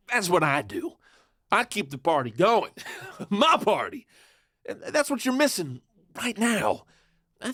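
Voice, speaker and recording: A man's voice: on the high side, fairly bright tone, dry recording, studio quality. An actor playing a cocky, fun-loving male outlaw in a western audio drama, showboating and theatrical. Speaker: outlaw narrator and lead character.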